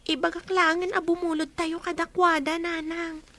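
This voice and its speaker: A woman's voice: very high-pitched, little pitch movement, normal speed, pushed and bright, exaggerated voice. An actress playing a young woman student in a radio drama, pleading and hurt. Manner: pleading, tearful. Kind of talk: Ilocano radio drama acting.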